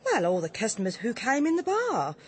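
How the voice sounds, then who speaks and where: Tart, lively English village wife. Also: female voice, on the high side, brisk. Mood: gossipy. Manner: tart, gossipy. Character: opinionated, disapproving English village publican's wife. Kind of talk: radio drama acting.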